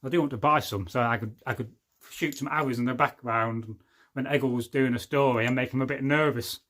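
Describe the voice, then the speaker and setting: A man's voice: medium pitch, fairly even intonation, brisk, a bit bright. A man hosts a casual livestream, chatting loosely and joking as he reads out viewers' comments. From England. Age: 40s. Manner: rambling and jokey, often losing his thread. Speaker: livestream host.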